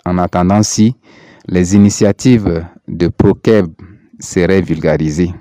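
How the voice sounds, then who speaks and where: A man from Chad reading a prepared statement in a measured, earnest way. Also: sits low, fairly even intonation, dark tone, dry recording, clean studio sound.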